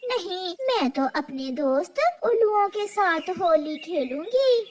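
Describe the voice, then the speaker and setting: Woman voicing a very high-pitched female cartoon crow. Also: expressive, slow pace, darkish tone, slight background noise, exaggerated voice. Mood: eager. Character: female crow, quarrelsome but sociable cartoon bird.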